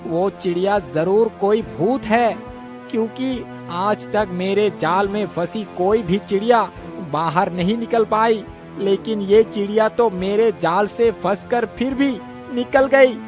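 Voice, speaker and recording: A man's voice: high voice, slow pace, over music. A man voicing a humble, superstitious bird-catcher in a cartoon story. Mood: apologetic. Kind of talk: children's cartoon story voice acting.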